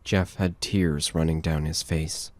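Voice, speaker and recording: A man's voice: extremely low pitch, steady tone, unhurried, dry recording. A man reading scripted narration for a horror audio drama.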